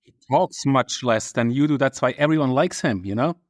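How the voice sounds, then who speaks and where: A man speaks as a company chief in an interview. He talks thoughtfully, with fillers and restarts, at a middle pitch. The sound is close and dry. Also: normal speed, no echo. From Switzerland. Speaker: watch company CEO, guest. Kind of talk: casual interview answers.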